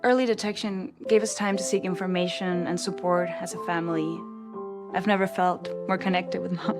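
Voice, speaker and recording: A woman reads a scripted testimonial for a public service ad. Her voice is on the low side, and prominent piano music plays loudly behind it. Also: fairly even intonation, normal speed. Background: loud piano music.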